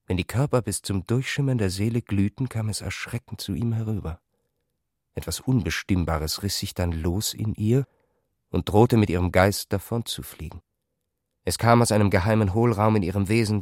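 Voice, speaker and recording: A man's voice: low voice, a little mellow, dry recording, clean studio sound. A man reading scripted literary narration for a radio production.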